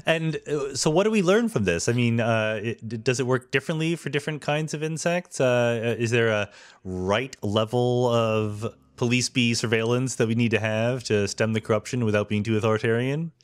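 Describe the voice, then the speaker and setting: A man's voice: medium pitch, normal speed. A man hosting a podcast, asking a guest thoughtful questions with some hesitant fillers. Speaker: podcast host. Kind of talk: interviewing a guest.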